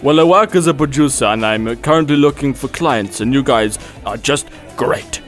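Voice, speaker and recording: A man playing a flattering, persuasive music producer in an audio story, talking like a salesman. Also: mid-range voice, moderate pace, close to an everyday voice. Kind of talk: children's story character acting.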